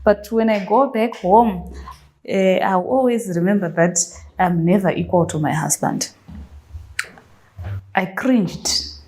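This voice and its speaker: A woman's voice: medium pitch, slow pace, long pauses, darkish tone, low-quality recording. A woman hosting an interview makes a passionate argument, stressing her key words sharply. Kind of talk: conversational interview.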